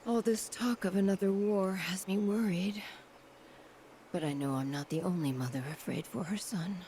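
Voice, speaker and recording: A woman's voice: medium pitch, moderate pace, dark tone, slight background noise, lightly acted. An actress playing a kindly, worried middle-aged village mother in a video game, earnest in her delivery. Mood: wistful, worried. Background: game background music.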